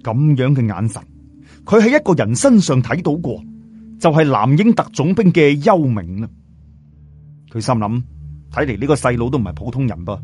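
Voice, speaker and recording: A man with a Cantonese accent narrating a scripted story in a dramatic, expressive way. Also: medium pitch, moderate pace, pauses often. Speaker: audiobook narrator. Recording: music bed under voice. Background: background music.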